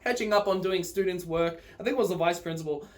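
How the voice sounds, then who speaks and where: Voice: medium pitch, fast talker. A vlogger telling personal stories to viewers in a rambling, informal, sweary way, often ready to laugh. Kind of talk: casual personal storytelling to viewers.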